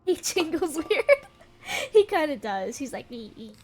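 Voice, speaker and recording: A woman's voice: sits high, very animated, unhurried, faint music behind. A young woman chatting casually while streaming a game, giggly and rambling, with a stuffy, congested nose. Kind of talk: casual gameplay chat.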